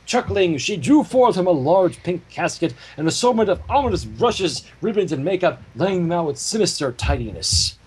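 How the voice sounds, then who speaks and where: A man narrating a story aloud in a slightly husky voice, with casual asides. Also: sits high, moderate pace, hardly any pauses, low-quality recording. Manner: animated, profane asides. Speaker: fan fiction reader and narrator.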